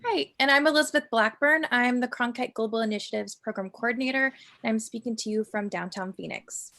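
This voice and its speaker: Bright, gravelly woman coordinator. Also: a little high, a little fast. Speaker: program coordinator.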